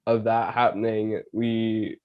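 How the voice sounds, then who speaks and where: A man's voice: lowish voice, fairly even intonation, takes it slowly, dull audio. A Canadian-born man with a Scottish accent, hosting a casual podcast chat and laughing between phrases. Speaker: podcast host. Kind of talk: casual conversation.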